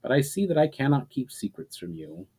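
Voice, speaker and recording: A man's voice: mid-range voice, huge pitch swings, a little fast, dark tone, husky, voice pushed up, exaggerated voice. A man voicing an earnest, troubled mage in a storybook reading, grave and explanatory in manner. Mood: calm, earnest. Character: troubled, earnest male mage haunted by visions.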